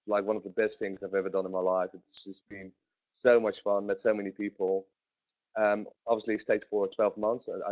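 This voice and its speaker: A man's voice: on the low side, darkish tone, no echo. Rambling man, dry dull sound. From Netherlands. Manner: rambling, many fillers and restarts. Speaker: guest. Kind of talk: webinar interview.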